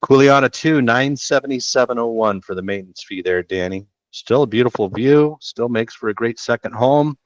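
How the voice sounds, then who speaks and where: A man's voice: medium pitch, moderate pace, hardly any pauses, no room sound. A middle-aged man hosting a real estate show, chatting casually and slangily through listings on a headset from a small room. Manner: laid-back, slangy, chatty. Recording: dull dry home recording.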